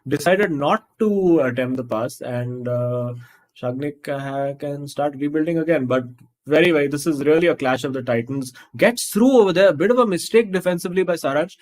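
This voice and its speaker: A man doing live esports co-commentary on a football video game, talking haltingly with many fillers and restarts. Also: a little high, darkish tone.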